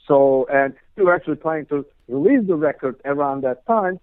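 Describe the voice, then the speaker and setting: A man's voice: fairly high pitch, lively intonation, brisk, no echo. Man over a tinny phone line. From Turkey. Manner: rambling, heavy on "you know" and "like" fillers. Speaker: guest guitarist. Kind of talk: casual interview chat.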